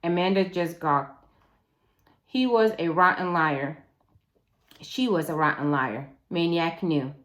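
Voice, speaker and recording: A woman reading a children's novel aloud as a teacher would, in an expressive, deliberate storytelling way. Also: lowish voice.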